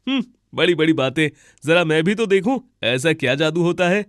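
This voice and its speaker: A man's voice: on the high side, expressive, normal speed, fairly bright tone, dry recording, clean studio sound. A man voicing a scornful, fault-finding crow uncle in a children's cartoon, scoffing and exclaiming. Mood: grudging curiosity. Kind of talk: Hindi animated children's story dialogue.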